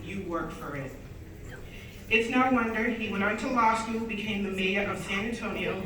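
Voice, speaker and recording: A woman from Boston with a Boston accent, a longtime union member introducing a speaker at a rally, friendly and a little halting. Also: mid-range voice, noisy background. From Boston, USA. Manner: friendly, a bit halting, laughs at herself. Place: large union hall with an audience. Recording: echoey hall from far off with crowd. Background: crowd.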